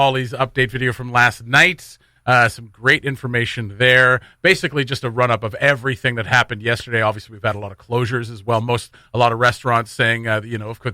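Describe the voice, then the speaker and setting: Bright-voiced male radio host, dry studio sound. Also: medium pitch, no echo. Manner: informal, joking, rambling. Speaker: morning radio show host. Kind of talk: casual radio banter and announcements.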